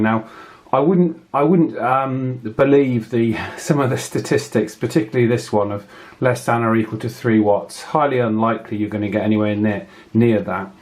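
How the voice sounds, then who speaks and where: Male reviewer, medium pitch, casual and unscripted, hesitant delivery with frequent mid-phrase pauses. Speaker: radio review channel host. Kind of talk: casual hands-on product review.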